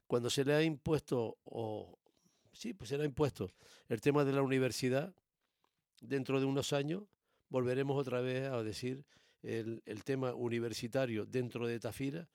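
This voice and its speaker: Male, Canary Islands accent. Gravelly, mid-pitched, dry sound. Casual, opinionated explainer who leans on repetition. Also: a little mellow, no room sound. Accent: Canary Islands Spanish. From born in Tenerife, raised in Gran Canaria, Canary Islands.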